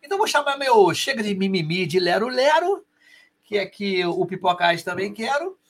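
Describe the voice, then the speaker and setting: A Carioca man hosting a podcast, chatting excitably in quick, animated bursts. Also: high voice. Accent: Carioca Portuguese. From Rio de Janeiro, Brazil. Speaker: podcast host. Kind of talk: casual podcast chat.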